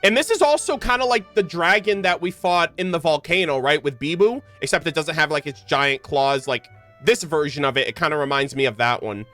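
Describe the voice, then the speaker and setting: Male game streamer, higher-pitched voice, informal rambling commentary over game music. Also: fairly quick, sharp tone. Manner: informal, rambling, stumbles when reading aloud. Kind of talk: casual livestream gameplay commentary with read-aloud game text. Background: video game music.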